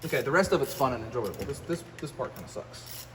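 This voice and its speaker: A man's voice: medium pitch, sing-song, fast talker. An American man giving a casual, rambling hands-on repair vlog, muttering in a folksy, self-deprecating way as he works. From United States. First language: English. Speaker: trucker and vlogger. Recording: echoey, distant mic, clear workshop noise.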